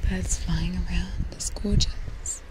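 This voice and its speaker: A young woman doing ASMR roleplay in a soft, breathy whisper, speaking gently and soothingly. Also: lowish voice, flat delivery, takes it slowly, low-quality recording. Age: young adult. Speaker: ASMR host. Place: outdoors on a sea cliff. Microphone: close binaural microphone.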